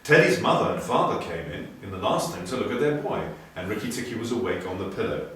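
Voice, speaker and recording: A man reading a children's story aloud with theatrical expression and clear enunciation. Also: lowish voice, big pitch swings, quick pace, a bit bright, slight background noise. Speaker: storybook reader. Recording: very echoey room, distant mic.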